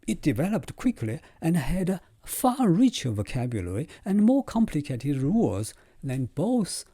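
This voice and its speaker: Lively male professor. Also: mid-range voice, no room sound, studio quality. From China. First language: Chinese. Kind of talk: scripted lecture.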